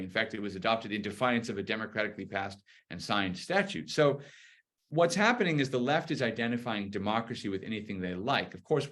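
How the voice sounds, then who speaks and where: A man with a lowish voice speaks as a political commentator in a live conversation. He is articulate and explanatory, building an argument point by point in a conversational style.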